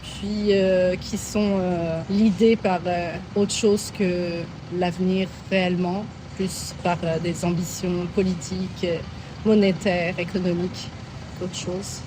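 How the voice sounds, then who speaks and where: A middle-aged woman from France, answering questions in a casual street interview in a park, thoughtful and hesitant, often laughing softly. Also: fairly low pitch, little pitch movement, slow. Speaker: interviewee, passer-by. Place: outdoor city park. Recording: outdoor park noise, traffic.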